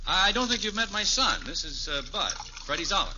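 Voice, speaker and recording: Wry, exasperated 1950s radio dad, slightly high and swooping, faint noise on an old recording. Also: male voice, sing-song, brisk, muffled recording. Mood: amused, patient. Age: middle-aged. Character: middle-aged sitcom father, wry and mildly exasperated. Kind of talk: 1950s radio sitcom acting.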